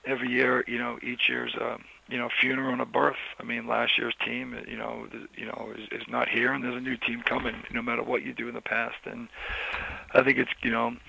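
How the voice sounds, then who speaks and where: Hoarse coach guy, super gravelly, flat monotone, rambly with lots of you knows. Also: medium pitch, brisk, poor audio. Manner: rambling, many restarts and "you know" fillers. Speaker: college football head coach. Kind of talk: casual interview answers.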